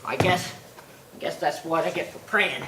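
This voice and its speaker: A woman's voice: low voice, moderate pace, reverberant, recorded from a distance, noisy background, pitched below the performer's own voice, natural voice. A woman voicing a desperate young man who stammers and begs for help. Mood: despairing. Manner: stammering, repeats words.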